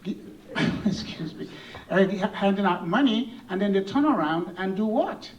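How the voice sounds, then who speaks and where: A man who is a congressional candidate, answering at a forum in a folksy way with rhetorical questions, clearing his throat now and then. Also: high voice, lively intonation, moderate pace, muffled recording. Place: event hall. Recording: distant mic with faint room noise.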